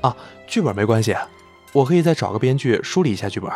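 A man's voice: mid-range voice, expressive, fast talker, warm tone, lightly acted. A man playing an eager young film star, music behind. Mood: earnest, keen. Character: eager young male film star devoted to older colleague. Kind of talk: Chinese audio drama acting.

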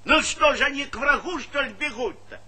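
A man's voice: very high-pitched, lively intonation, a little fast, pushed and bright, exaggerated voice. A man acting a wry, authoritative collective farm chairman in a Soviet stage comedy, teasing one moment and commanding the next. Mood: indignant. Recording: noisy old theatre recording.

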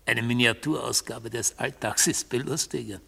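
An actor playing a stern, mystical accused man who holds forth in court in a husky, measured, disdainful voice. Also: mid-range voice, sharp tone, exaggerated voice. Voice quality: gravelly, husky. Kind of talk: 1970s radio drama monologue.

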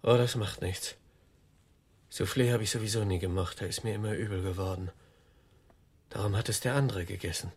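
Husky, agitated, deluded suspect. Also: male voice, on the low side, fairly quick, pauses often, darkish tone. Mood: eerily calm. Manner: insistent, rambling, protesting. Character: agitated, deluded, vain man suspected of murder. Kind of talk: German crime radio play acting.

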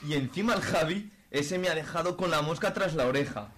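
Man acting a grumbling Spanish dad, highish voice. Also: fairly quick, fairly bright tone, natural voice. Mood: rueful grumbling. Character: guilty, grumbling middle-aged deadbeat father.

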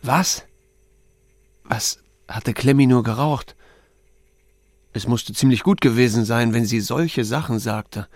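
A man's voice: medium pitch, takes it slowly, pauses often, dry recording. An actor playing an unflappable small-town sheriff who tells his own story in an audio drama, dry, wry and understated. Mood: wry narration. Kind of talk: first-person narration and dialogue in audio drama.

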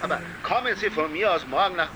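An actor playing an outraged, blustering radio station director in a radio drama, speaking over clear background noise. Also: male voice, sits high, quick pace, lightly acted. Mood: indignant, dismissive. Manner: scolding, blustering, stammering. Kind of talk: German-language radio drama acting.

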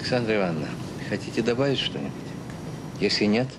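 A man acting in an audio drama as a brisk, formal and businesslike chairman of an official meeting. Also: fairly low pitch, lively intonation, background noise, natural voice.

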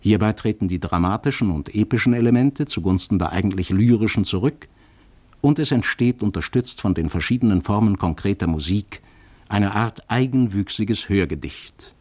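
Male radio presenter with a lower voice, reading a learned, carefully phrased introduction to a drama. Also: expressive, a little mellow. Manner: formal, measured, scholarly phrasing. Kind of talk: scripted literary introduction.

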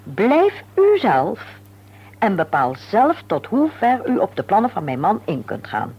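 Tactful middle-aged wife in an old radio play, formal n insistent, very lively pitch, dull hissy sound. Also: female voice, husky, heavily acted. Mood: firm, confiding. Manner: formal, deliberate, politely insistent. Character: composed, tactful middle-aged wife, discreetly warning a guest. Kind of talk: Dutch radio play acting.

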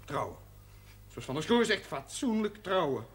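Casting: defiant young village son, high-pitched, widely swinging voice, blunt and colloquial, TV period drama. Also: male voice, sing-song, normal speed. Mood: stubborn, agitated. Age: young adult. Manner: blunt, colloquial, sharp-tongued. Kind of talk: television period drama acting.

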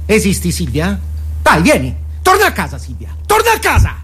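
An actor playing a menacing man in a radio play, mockingly coaxing a woman and swinging into shouts. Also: sits high, wildly swooping pitch, normal speed, breathy edge, full character voice. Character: menacing, taunting man coaxing a woman named Silvia home. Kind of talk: theatrical radio drama acting.

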